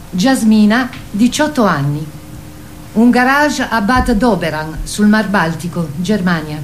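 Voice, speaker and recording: Radio drama announcer: woman, unhurried scripted read, mid pitch, faint noise behind. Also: rough recording.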